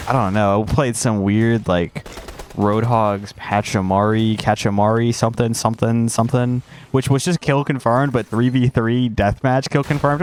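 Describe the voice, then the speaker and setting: A man's voice: lowish voice, a little mellow, a little hiss or hum. Congested young man rambling over gameplay. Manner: rambling and self-interrupting, drops slang. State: congested, recovering from a cold. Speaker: gaming streamer. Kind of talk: casual gameplay commentary.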